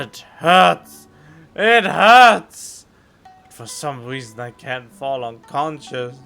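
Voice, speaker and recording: Man putting on a wounded, regretful dying man, bright-toned. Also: a little high, vocal fry, exaggerated voice. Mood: anguished. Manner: dramatic, pained, grieving. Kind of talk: amateur dramatic reading of game text.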